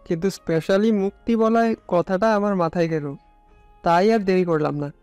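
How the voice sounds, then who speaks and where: High, smooth, flirtatious college lad, acted. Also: male voice, moderate pace, a little mellow, no echo, faint music behind, lightly acted. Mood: teasing. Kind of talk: romantic audio story acting.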